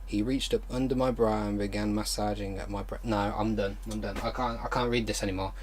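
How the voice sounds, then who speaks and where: A man hosting an online video, reading fan fiction aloud haltingly with exasperated, disbelieving commentary. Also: fairly low pitch, steady tone, slight background noise.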